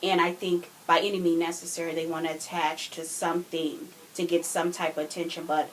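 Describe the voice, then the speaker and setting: A woman with a slightly low voice talks casually as a guest on a podcast, offering her opinions in an informal way. A faint hiss sits behind her on the home recording. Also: little pitch movement, a little fast, poor audio. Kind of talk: casual chat, giving opinions.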